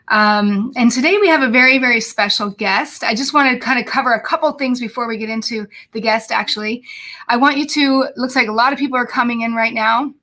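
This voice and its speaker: A woman's voice: fairly high pitch, a bit bright. Bubbly woman hosting a live podcast. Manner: bubbly, chatty, many fillers and restarts. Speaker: podcast host. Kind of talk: casual live podcast chat.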